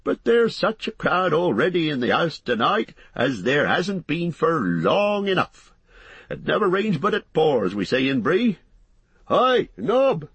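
A man's voice: fairly high pitch, big pitch swings, a little slow, fairly bright tone, husky, no room sound, pitched above the performer's own voice, heavily acted. A man voicing a fat, harried, talkative innkeeper in an audiobook, chattering breathlessly in rustic, folksy phrases. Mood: flustered, chatty. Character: fat, bustling, harried, talkative middle-aged male innkeeper. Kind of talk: audiobook character voice.

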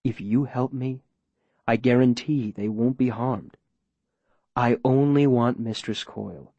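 Man playing a quiet, coldly menacing mayor. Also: mid-range voice, no room sound, highs cut off. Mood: falsely reassuring. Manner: measured, formal, falsely gentle and reasonable. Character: smooth-talking, coldly menacing male mayor interrogating a captive.

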